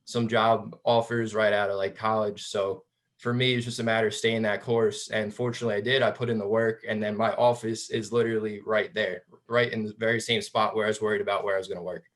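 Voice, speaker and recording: A man's voice: fairly low pitch, level tone, brisk. A man answering on a webinar panel as an alumnus, giving upbeat advice and often restarting his words.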